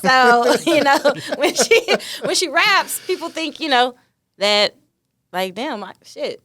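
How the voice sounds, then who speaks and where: A woman's voice: high voice, lively intonation, takes it slowly, a bit bright, no room sound. Young woman, candid, profane, laughing, dry close mic. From Dallas, Texas. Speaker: guest rapper. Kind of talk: casual interview chat. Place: podcast studio.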